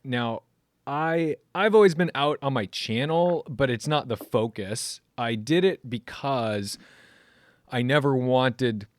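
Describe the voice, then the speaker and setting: Animated man hosting a podcast. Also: mid-range voice, slow, dry recording, clean studio sound. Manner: earnest, animated, acts out other people's remarks. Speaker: podcast host. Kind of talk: casual interview chat.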